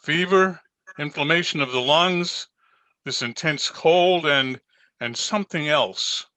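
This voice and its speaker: An actor playing a grave, concerned country doctor in an old-time radio drama, earnest and deliberate. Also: male voice, a little high, sharp tone, rough recording. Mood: grave, urgent.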